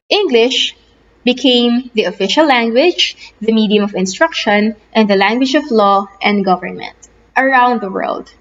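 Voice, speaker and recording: A Filipino woman teacher explaining a lesson at an even, measured pitch. She speaks in a patient, explanatory way and sometimes repeats small words as she gathers her thoughts. The audio is slightly dull, with faint noise behind her. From Philippines. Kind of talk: recorded online lecture.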